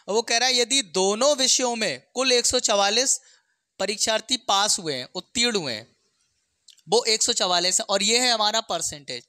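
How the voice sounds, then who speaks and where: Bright-voiced maths teacher. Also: medium pitch, dry recording, clean studio sound. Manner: explanatory, checks in with short questions. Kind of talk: step-by-step exam maths lesson.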